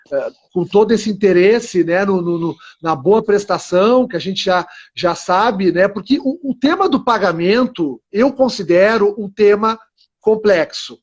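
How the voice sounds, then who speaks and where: High-pitched eager male host. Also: a little slow, dull audio. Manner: eager, rambling with restarts, affirming. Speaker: host lawyer. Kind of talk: live-stream interview.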